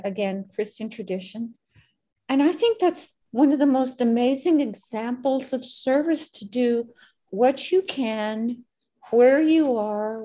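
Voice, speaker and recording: A woman sharing a personal story in a meditation group, earnest and emphatic, slowing down on key words. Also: a little high, dark tone.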